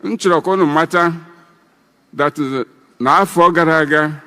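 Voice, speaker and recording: A man's voice: on the high side, normal speed, pushed and bright, clean tone, in a large hall. A man in his eighties with a Nigerian Igbo accent, giving a ceremonial speech as an elder breaking kola nut. He rambles and repeats himself. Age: 80s.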